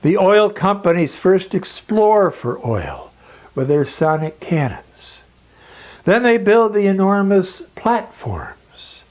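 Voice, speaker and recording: A man delivering scripted educational narration as a lecturer, in a deliberate, emphatic way with dramatic pauses. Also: sits high, sing-song, a little mellow.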